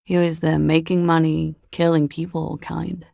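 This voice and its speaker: An actress playing a flippant, worldly, evasive young woman in a video game, speaking with a languid, deadpan wryness. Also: sits low, a little slow, creaky, no echo, clean studio sound, full character voice. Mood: wistful, detached. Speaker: video game character voice actor. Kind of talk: video game dialogue acting.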